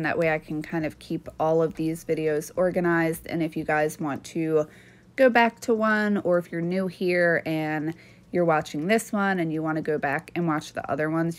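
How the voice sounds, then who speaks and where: A young woman from Maryland chatting earnestly to camera as a nail channel host, a bit sniffly from allergies. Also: deep voice. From Maryland, USA. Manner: chatty, earnest, with frequent fillers. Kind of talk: casual chat to camera.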